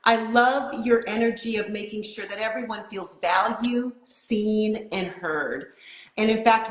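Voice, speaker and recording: Woman, interview host, higher voice, affirming, encouraging, roomy echo. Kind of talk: live-streamed interview.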